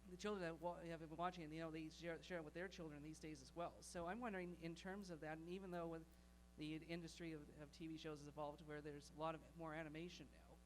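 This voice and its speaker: Man, higher voice, hesitant, lots of fillers, faint hum. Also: level tone, quick pace, hardly any pauses, poor audio. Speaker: audience member asking a question.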